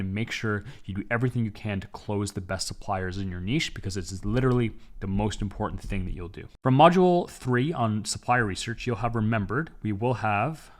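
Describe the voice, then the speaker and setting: Young canadian guy explaining stuff step by step, lowish voice, steady course-video delivery. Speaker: course instructor. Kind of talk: scripted online business lesson.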